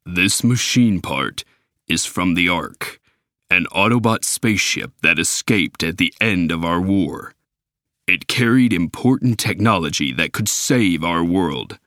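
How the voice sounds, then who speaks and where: A man voicing a giant robot leader who speaks in a low, dry, deliberate voice. He is grave and weighty, and his anger runs close under the surface. He sounds like a storybook hero. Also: expressive, a bit bright, no echo. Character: huge angry male robot leader, grave.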